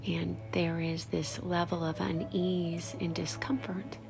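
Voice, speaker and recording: A woman guides a meditation in a very slow, lowish voice. She speaks softly in short phrases with long pauses, letting the endings go whispery and creaky. Music plays clearly behind her. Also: level tone. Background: background music.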